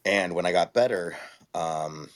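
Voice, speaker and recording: Man with a very deep voice. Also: measured pace. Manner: laid-back, rambling with many fillers and restarts. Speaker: guest, writer-actor-producer. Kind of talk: casual interview storytelling.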